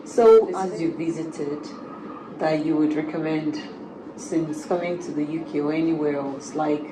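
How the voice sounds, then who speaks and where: A woman's voice: low-pitched, measured pace. A woman interviewer asking questions in a casual, conversational way. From a very warm country. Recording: echoey room with clear background noise.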